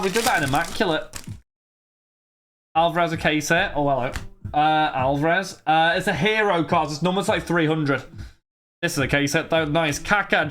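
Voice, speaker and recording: A man's voice: fairly high pitch, fairly bright tone. A young man in his twenties casually streaming, rambling and chatty as he answers his viewers' messages. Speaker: streamer opening trading cards. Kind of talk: casual live-stream chat.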